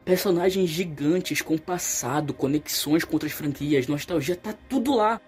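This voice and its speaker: A man's voice: fairly high pitch, normal speed, a little mellow, breathy edge. A young man with a Brazilian accent delivers opinionated, colloquial video-essay commentary, getting emphatic when he criticizes. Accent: Brazilian Portuguese. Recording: voice-over over background music. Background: music.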